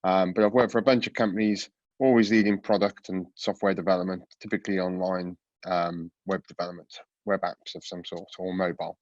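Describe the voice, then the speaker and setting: Congested man with a low voice. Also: fairly quick, dry recording, dull audio. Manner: relaxed, many fillers and restarts. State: congested from a cold. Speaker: product coach giving a webinar talk. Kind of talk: presenting a webinar. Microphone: video call.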